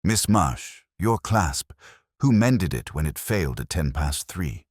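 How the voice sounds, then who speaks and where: A man voicing a mild, shrewd police inspector in a mystery story, with deliberate, theatrical phrasing. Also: extremely low pitch, big pitch swings, husky, no room sound, clean studio sound, exaggerated voice. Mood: calmly accusing. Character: mild, shrewd male police inspector, calmly exposing culprits. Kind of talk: dramatised mystery story dialogue.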